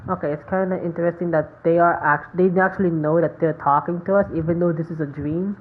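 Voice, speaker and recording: A woman giving casual game playthrough commentary, halting and muttering as she searches for words. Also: on the low side, steady tone. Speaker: game streamer. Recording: dull lo-fi mic, faint background noise.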